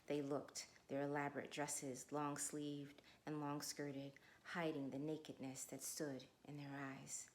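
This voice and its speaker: A middle-aged woman with a low-set voice speaks in a measured, deliberate way, like someone sharing literature aloud. Each phrase is placed with care. There is faint room noise behind her. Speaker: guest reader. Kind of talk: informal introduction, then literary read-aloud.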